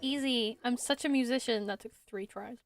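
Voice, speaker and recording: A woman's voice: fairly high pitch, moderate pace, over loud music. A woman making casual asides while playing through a video game.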